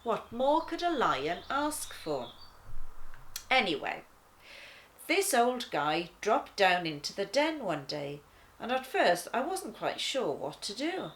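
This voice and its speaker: A woman reading a children's story as a laid-back talking lion, conversational and wry, with dramatic pauses. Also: medium pitch, sing-song, fairly bright tone. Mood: chatty. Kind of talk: first-person children's storybook narration.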